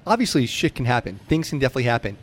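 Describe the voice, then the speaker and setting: An American man interviews casually as a podcast host. He talks quickly with very animated pitch, and his manner is blunt and slangy.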